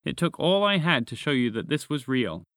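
A man voicing a weary, bearded magical gentleman who explains himself patiently. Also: medium pitch, big pitch swings, normal speed, dry recording, studio quality.

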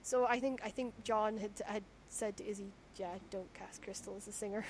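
A woman from Shetland hosting a podcast interview, chatty and self-deprecating, with a naturally squeaky voice and frequent laughter. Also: steady tone, moderate pace, a little hiss or hum. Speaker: podcast host. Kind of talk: casual conversational interview.

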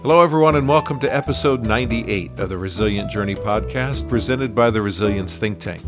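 A man's voice: fairly low pitch, fairly quick, over music. A man hosting a podcast, speaking in an interview and introduction style. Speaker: podcast host.

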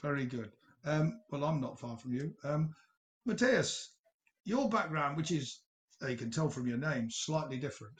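A man hosting a casual interview, chatting in a halting, teasing way as he puts questions to his guests. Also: on the high side, animated. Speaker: podcast host, university staff interviewing former students.

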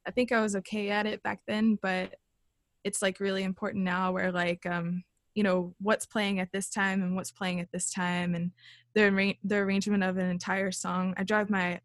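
A young woman with a small, light voice talks about her band in a relaxed interview. She rambles with fillers and laughs often. The sound is dry and close. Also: mid-range voice, normal speed, dry recording. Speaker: band leader and songwriter. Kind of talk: casual interview chat.

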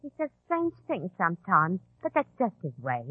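Husky chatty go-between, old radio. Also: female voice, fairly low pitch, huge pitch swings, normal speed, no echo, full character voice. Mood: nervously reassuring. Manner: informal, reassuring, hesitant asides. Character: chatty, devoted go-between of a secret society. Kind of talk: 1940s radio acting. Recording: tinny, band-limited old radio broadcast.

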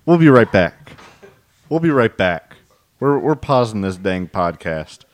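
A man hosting an improvised comedy podcast, talking quickly with bursts of laughter and mock alarm. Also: fairly low pitch, sing-song. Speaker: podcast host.

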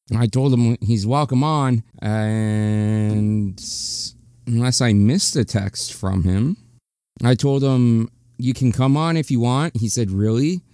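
A man's voice: medium pitch, warm tone, smooth, dry recording, studio quality. A young man hosting his own podcast, talking casually and candidly, rambling and restarting his sentences. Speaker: podcast host. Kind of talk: casual solo podcast monologue.

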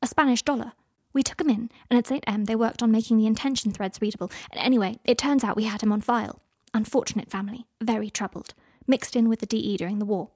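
A hurried, excitable young witch rattling off clipped, note-like sentences, as a woman narrator puts her on. She sits a few steps higher and pushes louder than the narration, and the tone stays mellow and dry. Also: breathy edge, no echo. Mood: excited. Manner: rushed, clipped note-taking.

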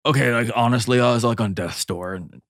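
A man's voice: medium pitch, brisk, no room sound, studio quality. A man playing in a tabletop game, improvising casual role-play chat.

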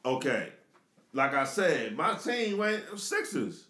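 Philly-accented man, high voice, lively pitch, slangy, echoey room, sits back from the mic. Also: slow pace, distant mic. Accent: Philadelphia. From Philadelphia. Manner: slangy, interjects often. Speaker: guest. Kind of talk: casual podcast chat.

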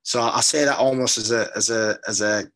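Low-voiced, hesitant British man. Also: fairly even intonation, fairly quick, a bit bright. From London, England. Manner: hesitant, thoughtful, often repeating words as he searches. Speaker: poet being interviewed. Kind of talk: reflective literary interview.

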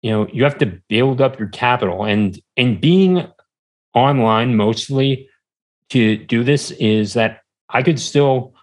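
Halting, rambling man as podcast guest. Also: medium pitch. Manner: halting, rambling, repeats words as he goes. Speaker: podcast guest, small-business entrepreneur. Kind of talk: casual interview chat.

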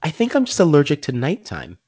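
Man, podcast host, stuffy-nosed and a touch scratchy, animated and jokey, slightly muffled recording. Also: fairly high pitch, fairly quick, slightly rough. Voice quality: a little scratchy. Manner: playful, self-deprecating banter. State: congested. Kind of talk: casual chat. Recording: slightly dull lo-fi sound.

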